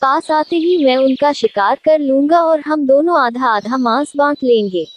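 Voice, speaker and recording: A scheming old lion of a storybook fable, voiced by a woman narrator, high in pitch. He is domineering and speaks in a commanding, scolding manner. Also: a little slow, highs cut off.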